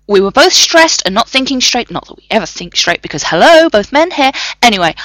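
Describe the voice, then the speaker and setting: A woman voicing a sarcastic male scientist who rants in quick, cutting bursts, pitched somewhat above her own voice. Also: sing-song, a bit bright, no echo. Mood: chatty. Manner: sarcastic, rapid ranting.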